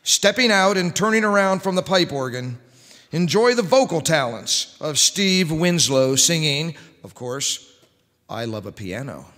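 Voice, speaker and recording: A middle-aged man announces in old-time radio style. His voice sits slightly high and rings through a large hall. He reads scripted spots with a formal host's delivery. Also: animated, measured pace, sharp tone. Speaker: radio show host and announcer. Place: large church-like hall on stage, indoors. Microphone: stand microphone at lectern. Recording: reverberant hall.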